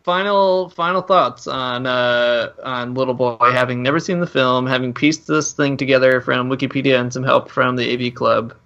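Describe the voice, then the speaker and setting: A man's voice: medium pitch, fairly even intonation, normal speed, hardly any pauses, a bit bright. Male podcast host, slightly muffled sound. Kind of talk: casual joking film chat.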